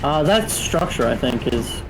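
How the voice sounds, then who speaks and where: A man's voice: on the high side, animated, unhurried, over music, low-quality recording. A man chatting casually as a player in a tabletop game. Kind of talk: casual chat.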